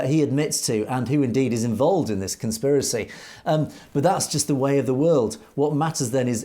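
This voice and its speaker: A man from Europe co-hosts a news commentary show, working through viewer comments one by one. His pitch sits in the ordinary middle range for a man. He answers the comments earnestly and presses his points with rhetorical questions. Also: warm tone.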